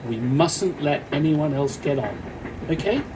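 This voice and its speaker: Man acting calm, commanding off-duty policeman. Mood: reassuring. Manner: measured, reassuring, authoritative. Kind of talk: amateur radio play acting. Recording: noisy, train carriage rumble behind.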